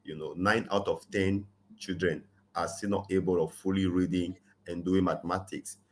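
Earnest low-voiced man, emphatic. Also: fairly even intonation, moderate pace, slight background noise. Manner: earnest, frequent "you know", rising emphasis on key points. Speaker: guest. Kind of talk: conversational interview, advocacy.

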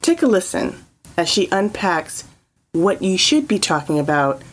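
A woman hosts a casual interview and introduces her guest. Her voice sits a little low, and she sounds enthusiastic and laughs a lot. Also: sing-song, a little slow, slightly rough, poor audio. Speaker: interview host.

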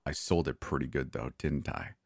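A man's voice: very deep voice, normal speed, creaky, no echo, studio quality, pitched below the performer's own voice, heavily acted. A man voicing a gleeful, lying teenage boy in a spoken horror story. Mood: smug.

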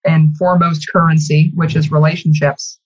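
A woman's voice: deep voice, flat delivery, moderate pace, slightly rough, muffled recording. A woman giving articulate, emphatic interview answers in polished corporate phrasing over a video call. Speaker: communications executive, interview guest.

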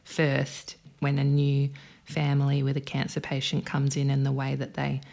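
A woman's voice: very deep voice, completely flat delivery, moderate pace, vocal fry, darkish tone. A woman hosting a podcast, attentively setting up long questions for her guest. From Australia. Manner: attentive interviewer, gives long setup questions. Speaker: podcast host. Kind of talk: podcast interview.

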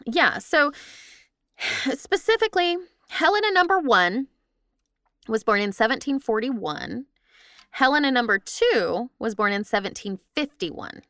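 A woman's voice: a little high, very animated, unhurried, a bit bright, no room sound, clean studio sound. A woman podcast host casually talking through her research in conversation. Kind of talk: casual chat, explaining research.

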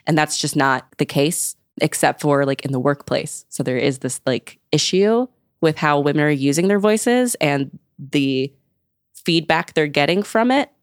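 A woman's voice: low-pitched, expressive, a little slow, smooth, no room sound, studio quality. A young woman in casual podcast chat, explaining her research at length with many "like"s. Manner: explanatory, uses "like" often. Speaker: guest researcher.

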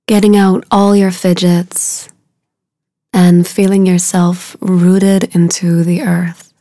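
Slow, mellow woman guiding meditation, creaky voice. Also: on the low side, flat delivery, no echo, studio quality. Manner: soothing, hushed, soft and breathy at phrase ends; unhurried with drawn-out phrases. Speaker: meditation guide. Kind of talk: guided meditation.

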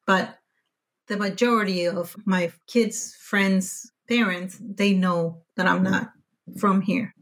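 A woman's voice: mid-range voice, slow. A woman whose first language is Spanish, telling her story as a podcast guest in a casual, chatty way, with frequent restarts and self-corrections. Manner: chatty, animated when recounting frustration, frequent self-corrections.

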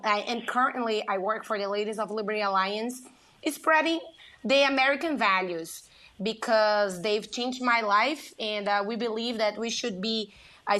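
A young woman, a lawyer speaking as a guest in a radio interview, eager and earnest in short phrases with pauses. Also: mid-range voice, bright, forceful tone. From Brazil.

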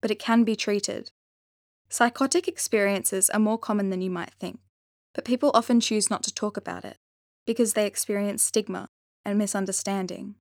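Dry-sounding woman narrator, measured. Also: medium pitch, fairly even intonation, darkish tone, dry recording. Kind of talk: scripted narration, instructional and explanatory.

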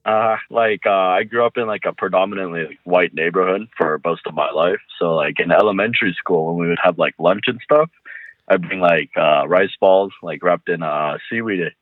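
A young man from the United States answers interview questions over the phone in a laid-back, frank way, full of fillers. His voice is low. The call comes through as a tinny, narrow phone line. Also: normal speed, no room sound.

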